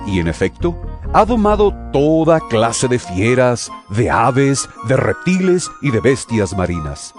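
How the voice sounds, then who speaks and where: A man playing an earnest apostle in a dramatized scripture reading, exhorting his brethren with emphatic, rhetorical, admonishing oratory. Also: mid-range voice. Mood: grave, admonishing. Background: music.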